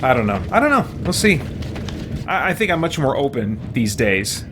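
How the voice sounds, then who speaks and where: A man's voice: medium pitch, very animated, normal speed, noisy background. A man streaming a video game, chatting casually and thinking aloud in a rambling, musing way. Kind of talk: casual livestream chat over gameplay.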